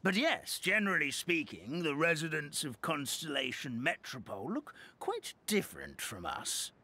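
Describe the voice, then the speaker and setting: A man's voice: a little high, big pitch swings, moderate pace, bright, forceful tone, full character voice. A man voicing a polite, helpful guide character in a storybook-world video game, courteous and explanatory. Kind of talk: animated game voice acting.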